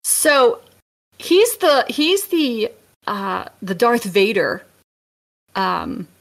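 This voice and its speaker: A woman's voice: on the high side, big pitch swings, drawn-out words, creaky, fairly bright tone. A woman co-hosting a podcast, thinking aloud as she casually analyses and compares stories. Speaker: podcast co-host and author. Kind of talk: casual film discussion.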